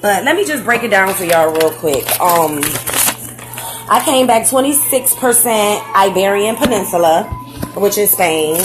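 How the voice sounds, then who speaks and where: A young woman, chatting excitedly on a live stream, full of slang and "like"s and "um"s. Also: medium pitch, unhurried, music in the background, low-quality recording. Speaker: vlogger. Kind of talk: casual facebook live chat.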